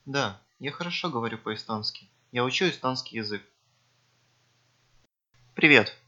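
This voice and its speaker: A man with a bright, forceful voice reads scripted textbook dialogues for a language course. He speaks clearly and evenly. The recording sounds dull and lo-fi. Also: mid-range voice, animated, moderate pace. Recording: dull lo-fi audio.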